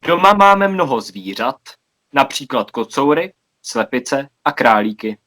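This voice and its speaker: A man's voice: mid-range voice, big pitch swings, normal speed, pushed and bright. A man from Czechia in a casual video chat, patiently repeating and explaining words. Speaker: language exchange participant.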